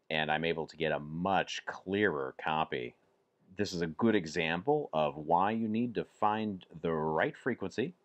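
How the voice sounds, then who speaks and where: A man hosting a hobby radio channel, giving an informal, measured, instructive explanation. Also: low-pitched, dull audio. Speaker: host of a ham radio channel.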